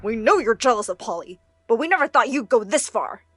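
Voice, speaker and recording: A woman voicing a scolding, dismissive parent in an animated story, sharp and exasperated. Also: a little high, fairly quick, over music, natural voice.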